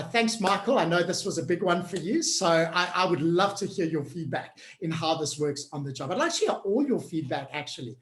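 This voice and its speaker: A woman's voice: mid-range voice, roomy sound. A woman hosting an online workshop on change management. She coaches the group directly in an upbeat, encouraging way and keeps checking in with them.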